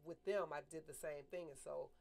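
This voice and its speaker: Middle-aged woman, low-pitched and firm, co-hosts a casual studio chat. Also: creaky, darkish tone. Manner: firm, measured, opinionated. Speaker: talk show co-host. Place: small studio room with a table, indoors. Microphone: desk mic on a stand.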